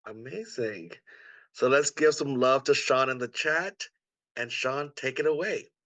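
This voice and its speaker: Man, bright forceful voice, upbeat event host, enthusiastic and welcoming, very dry close sound. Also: medium pitch, unhurried, no echo, rough recording. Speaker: co-host, artistic producer. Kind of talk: upbeat event hosting, introductions and questions. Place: indoors on a video call.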